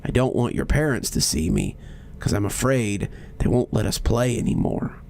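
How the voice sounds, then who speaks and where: A man's voice: on the low side, moderate pace, vocal fry, darkish tone. A man voicing a small, big-mouthed creature in a horror story, a secretive, coaxing, childlike playmate.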